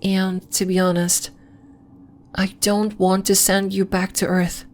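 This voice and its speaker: A woman voicing a gentle, lofty alien moon healer in an ASMR roleplay, speaking in a soothing, formal, faintly condescending and measured way. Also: medium pitch, level tone, slight background noise, natural voice. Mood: gentle, earnest. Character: gentle, lofty female lunarian healer, celestial moon being. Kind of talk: ASMR roleplay, scripted, addressed to the listener.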